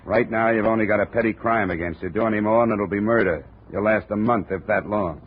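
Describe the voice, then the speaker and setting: A man's voice: fairly low pitch, fairly quick, a little hiss or hum. A man as a hardboiled insurance investigator, narrating and acting in a 1950s radio drama with a dry, clipped delivery. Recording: tinny old radio recording.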